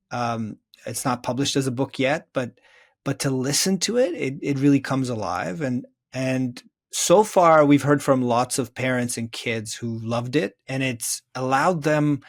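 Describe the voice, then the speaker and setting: A man's voice: medium pitch, a little slow. A middle-aged man talking reflectively as a podcast guest, earnest, building his points in emphatic, repeated phrases. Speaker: guest, children's story author. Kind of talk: reflective podcast interview conversation.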